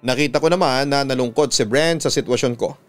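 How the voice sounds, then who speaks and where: A man with a Filipino accent, a radio announcer reading a scripted first-person story in a measured, dramatic storytelling delivery. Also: medium pitch. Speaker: radio announcer and host reading listener stories. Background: instrumental music.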